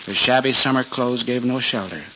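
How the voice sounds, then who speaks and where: An actor as the narrator of a gentle 1940s radio Christmas tale, telling it in a measured, literary way. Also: male voice, medium pitch, a little hiss or hum, natural voice. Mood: tender. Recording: muffled 1940s radio recording. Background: music.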